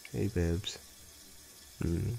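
Man acting a very deep, gravelly doting husband. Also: extremely slow, dark tone, heavily acted. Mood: playful. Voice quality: low, gravelly, husky. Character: affectionate, playful young husband and doting father. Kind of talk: intimate ASMR boyfriend audio roleplay.

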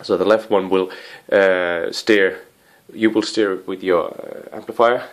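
A man giving a casual hands-on video review in a living room, rambling with apologetic asides and a playful, excitable streak. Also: low voice, unhurried. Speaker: amateur gadget reviewer. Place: living room with wooden floor and rug.

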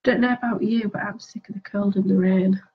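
A woman with a mid-range voice talks casually through her makeup, sniffly from a cold. She chats to her viewers with questions and drops into soft murmured asides while she concentrates. The audio sounds a bit dull and lo-fi. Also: mid-range voice, fairly even intonation, a little fast. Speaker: beauty vlogger.

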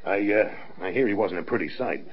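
A man playing a brisk, reassuring senior air force colonel with an authoritative manner in a vintage radio drama. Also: low voice, slightly rough. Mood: measured, reassuring. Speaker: actor. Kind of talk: mid-century radio drama acting. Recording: dull lo-fi vintage radio recording.

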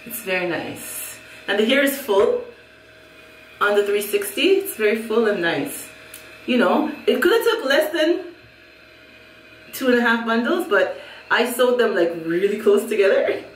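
A woman's voice: a little high, very animated, unhurried, pauses often, far from the mic, slight background noise. A middle-aged Jamaican woman reviewing a wig in a casual, chatty way, laughing often and repeating herself. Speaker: hair vlogger. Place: small room at home, indoors. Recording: echoey room, a little off mic.

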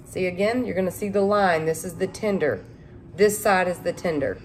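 A woman's voice: fairly low pitch, animated, moderate pace, low-quality recording. Chatty woman cooking at home. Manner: chatty, folksy, addresses viewers as y'all. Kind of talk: casual cook-along talk to camera. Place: home kitchen.

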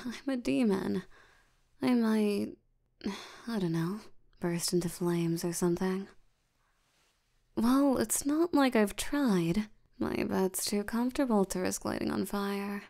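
Woman voicing a slow, creaky, sleepy demon girl. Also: medium pitch. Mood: tired, mildly exasperated. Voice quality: soft, breathy, creaky tired voice. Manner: drowsy, slow, drawling, unbothered. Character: sleepy, lazy female sloth demon, ancient but youthful. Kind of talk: ASMR roleplay.

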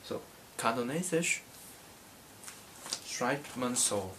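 Slow, halting young Hong Kong man. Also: medium pitch, expressive, heavy background noise. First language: Cantonese. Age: young adult. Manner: slow, halting, many hesitations. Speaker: language teacher. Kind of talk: casual language lesson. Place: small bedroom indoors. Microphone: camera in front at desk distance.

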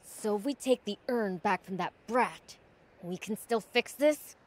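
A woman's voice: medium pitch, a little slow, natural voice. A woman voicing a cocky young demon prince in a video game, casual and blunt. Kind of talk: cartoonish video game acting.

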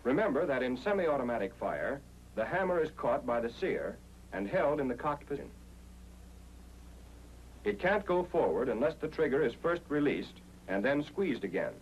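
A man's voice: mid-range voice, sing-song, normal speed, a little hiss or hum, poor audio. An American man reading a scripted 1940s instructional film narration in an emphatic, explanatory way, on an old film soundtrack.

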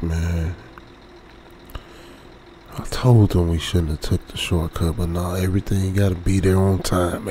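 A man playing a lonesome, uneasy young trucker, muttering colloquially with pauses in an ASMR radio drama. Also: low voice, dark tone, slight background noise. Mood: weary grumbling. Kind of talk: ASMR old-school radio drama monologue.

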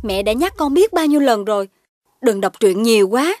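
A woman's voice: fairly high pitch, lively intonation, moderate pace, a little mellow, no room sound, clean studio sound, close to an everyday voice. A woman voicing a concerned mother dog in a children's cartoon, scolding and instructive. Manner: admonishing, instructive. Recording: music under the voice.

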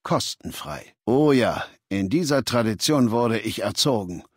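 Imperious legion warlord, cold, self-assured, lecturing, mid-pitched, dry close sound, video game dialogue. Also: male voice, animated, unhurried, slightly rough, dry recording. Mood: reflective, self-assured. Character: imperious, cold male warlord ruling a slave-taking legion. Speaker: game character voice.

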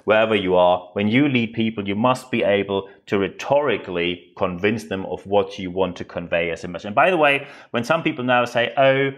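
A man's voice: on the low side, brisk, hardly any pauses. A man from northern Germany with a German accent, hosting a solo podcast in his second language with an emphatic, rhetorical manner. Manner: emphatic, rhetorical questions and repetition. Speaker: podcast host. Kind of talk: unscripted solo podcast commentary.

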